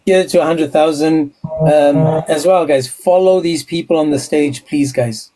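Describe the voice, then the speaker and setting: Male co-host, slightly high voice, brisk, running the stage. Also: steady tone, mellow, soft background music, rough recording. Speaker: stream co-host. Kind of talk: live stream debate.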